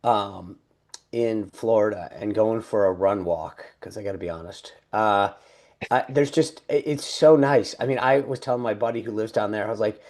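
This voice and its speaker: A middle-aged man co-hosting a casual political chat, talking loosely with many restarts and quick laughs. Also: lowish voice, darkish tone. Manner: chatty, full of restarts and laughs. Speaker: podcast co-host.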